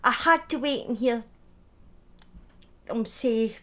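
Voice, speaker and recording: A woman's voice: a little high, slow pace, bright, forceful tone. A woman chatting informally to viewers as the host of a livestream, chatty and self-deprecating, with the odd swear word. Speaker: live streamer and storytelling host. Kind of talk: casual livestream chat to viewers.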